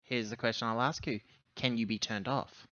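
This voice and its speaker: A man with a sharp, bright voice plays through a tabletop roleplaying scene. He talks in halting, improvised phrases, feeling his way through sentences. The sound is very dry and a little dull. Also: mid-range voice, fairly even intonation, no echo. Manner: halting, hesitant phrasing with pauses. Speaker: tabletop roleplaying game player. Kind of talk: improvised in-character roleplay talk.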